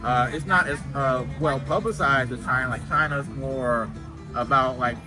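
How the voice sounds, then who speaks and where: A man's voice: mid-range voice, moderate pace, over music, poor audio. A man from the United States, a guest and video creator, talking in a casual interview and addressing viewers directly. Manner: assertive, lectures the audience directly.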